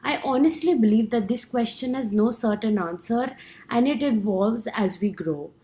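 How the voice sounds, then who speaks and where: A woman's voice: fairly high pitch, normal speed, dark tone. A young woman from India with an Indian accent, earnestly reading her class speech with small stumbles. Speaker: student.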